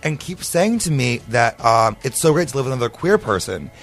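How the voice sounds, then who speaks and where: A man in his twenties in casual, comedic conversation. He talks very quickly at a middling pitch and tells his stories emphatically, dropping in profane quips. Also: very animated, soft background music. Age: 20s. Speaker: talk show panelist.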